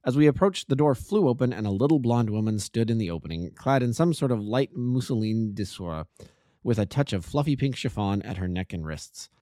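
A man reading a classic detective story aloud as an amateur narrator, expressive and lively. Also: lowish voice, a little fast, no echo, clean studio sound.

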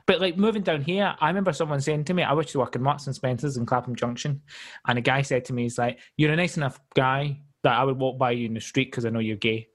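A man's voice: mid-range voice, steady tone, fast talker. Easygoing man telling stories. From Glasgow, Scotland. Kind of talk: casual podcast chat.

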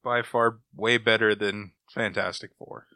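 A man with a somewhat low voice that still has a bright, forceful edge. He chats bluntly and slangily as a podcast co-host, and the recording is very dry, with no room around him. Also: fairly even intonation, unhurried, no echo. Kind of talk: casual review chat about old-time radio.

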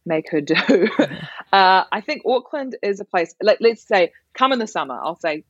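A woman's voice: mid-range voice, expressive, moderate pace, no room sound, muffled recording. A young woman with a New Zealand accent, a comedian chatting as a guest, laughing often and restarting her sentences. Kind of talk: casual podcast interview.

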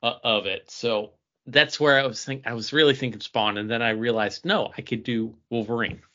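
Bright, forceful-voiced man in podcast chat. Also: mid-range voice, fairly even intonation. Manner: halting, thinking aloud with repeats. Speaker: podcast co-host. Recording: dull, band-limited podcast audio.

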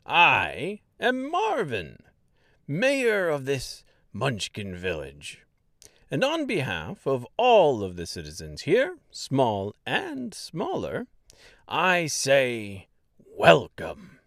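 A man voicing a plump, jovial male Munchkin mayor with a booming, hearty voice and an eager, gushing way of talking. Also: huge pitch swings, drawn-out words, a bit bright, dry recording, clean studio sound, exaggerated voice. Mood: grand welcome.

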